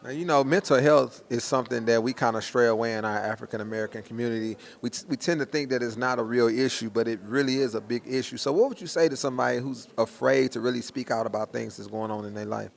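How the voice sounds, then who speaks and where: Fast-talking man with slangy, gravelly delivery. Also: medium pitch. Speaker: interviewer promoting a stage play. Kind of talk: casual hype-style press junket interviewing.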